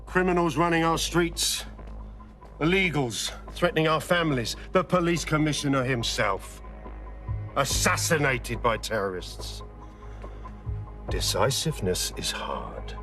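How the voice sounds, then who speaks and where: An actor playing a cold, authoritarian military company boss in a video game, speaking in a measured, menacing, oratorical way. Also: male voice, fairly high pitch, sharp tone, faint music behind. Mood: persuasive, sinister. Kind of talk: dramatic video game acting.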